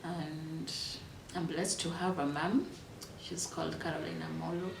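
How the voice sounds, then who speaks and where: A Kenyan woman in her thirties telling her life story in a reflective, unhurried way, with fillers and restarts. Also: sits low, flat delivery, vocal fry, far from the mic, noisy background. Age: 30s. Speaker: interviewee. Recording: echoey room, voice from off-mic.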